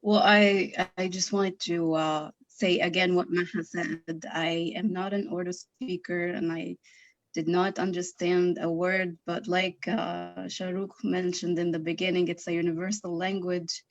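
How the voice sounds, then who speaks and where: A woman's voice: lowish voice, little pitch movement, a little slow, dry recording, muffled recording. A woman in the audience chatting on a video call, hesitant, with many fillers. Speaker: audience member. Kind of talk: casual chat on a video call.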